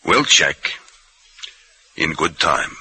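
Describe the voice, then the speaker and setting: A man acting a gruff, laconic police detective in an old American radio crime drama, on a noisy vintage recording. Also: very deep voice, very animated, extremely slow, exaggerated voice. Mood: grim, patient. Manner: grim, laconic.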